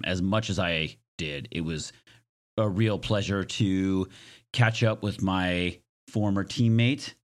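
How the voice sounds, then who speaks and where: Male solo podcaster, low-pitched and smoky with slight hoarseness; conversational, reflective, self-deprecating. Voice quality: raspy, smoky. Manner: self-deprecating, joking asides. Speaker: podcast host.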